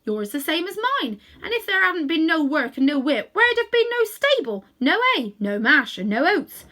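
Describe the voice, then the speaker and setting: A woman's voice: sits high, big pitch swings, normal speed, sharp tone, pitched above the performer's own voice, heavily acted. Woman doing a chatty cabbie man, emphatic. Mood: arguing his case. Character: warm-hearted, plain-spoken working-class London cabbie man. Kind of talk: children's storybook character reading.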